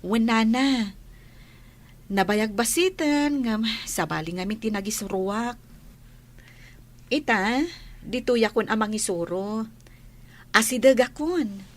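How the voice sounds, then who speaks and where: A woman's voice: fairly high pitch, slow, pauses often. A woman with an Ilocano accent playing a polite young schoolteacher in a radio drama.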